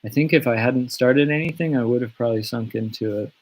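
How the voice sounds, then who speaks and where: A man chatting casually from his home on a livestream, answering fan questions in a relaxed, rambling way with many fillers and restarts. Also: medium pitch, fairly quick. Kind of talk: casual live Q&A. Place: home studio in the countryside. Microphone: webcam or phone on a livestream.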